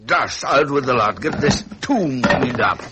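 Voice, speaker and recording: An actor playing a gruff, impatient ageing king with an upper-class English accent and blustering fragments, in 1970s radio drama style. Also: mid-range voice, expressive, a little slow, lightly acted. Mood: disgusted, impatient. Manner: blustering, imperious, muttering in clipped fragments. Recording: noisy vintage radio recording.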